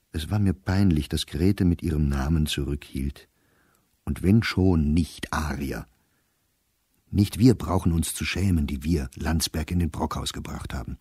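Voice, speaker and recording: A middle-aged male diarist with a very low, dark voice. He reads his entries for a radio play in measured, clipped phrases, precise and dryly wry, with a husky edge. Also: no room sound, studio quality, heavily acted. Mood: wry, reflective. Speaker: actor reading a diary. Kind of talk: literary diary narration in a radio play.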